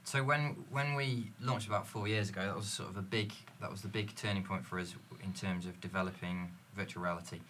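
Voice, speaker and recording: A man's voice: sits low, fairly even intonation, brisk, few pauses, fairly bright tone, slight background noise. A man in a panel discussion, explaining fluently and telling stories from his business, recorded in a dim studio lounge. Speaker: company representative.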